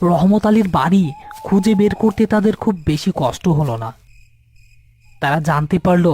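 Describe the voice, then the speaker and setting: A man's voice: sits high, dark tone. A man narrating a scripted ghost story, with music behind him.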